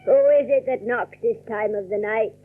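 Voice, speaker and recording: An actress playing a proud, bitter, frightened old spinster in a radio drama, tremulous and halting. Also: female voice, mid-range voice, steady tone, breathy edge, phone-quality sound, lightly acted. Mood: wary, weary. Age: older.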